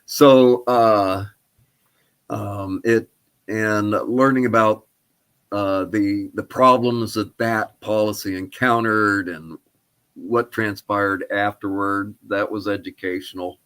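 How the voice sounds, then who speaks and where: An older man answering interview questions as a historian, speaking in a halting, thoughtful, tentative way. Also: lowish voice, fairly even intonation, a bit bright, clean tone. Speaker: archaeologist and historian guest. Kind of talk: interview answers about research.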